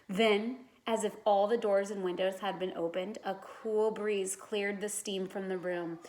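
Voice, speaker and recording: A woman with a mid-range voice reads a children's story aloud. Her delivery is expressive, with dramatic emphasis, like a teacher narrating to a class. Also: medium pitch, low-quality recording.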